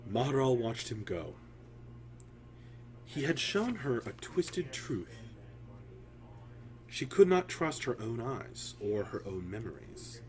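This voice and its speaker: A man telling a fantasy story in a solemn, theatrical way, with deliberate phrasing and dramatic pauses. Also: mid-range voice, sing-song, a little mellow.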